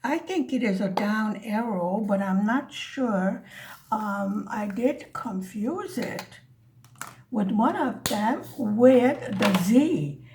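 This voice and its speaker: Slow, chatty woman showing crafts. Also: mid-range voice, lively intonation, darkish tone, a little hiss or hum, rough recording. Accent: slight Hungarian-American accent. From United States. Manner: chatty, rambling, addresses viewers as folks. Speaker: craft vlogger. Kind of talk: casual chatty craft narration.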